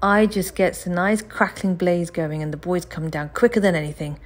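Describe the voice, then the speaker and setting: Woman as a storybook chimney sweep man, callous, blunt, loud, rough grammar. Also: lowish voice, moderate pace, close to an everyday voice. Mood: dismissive.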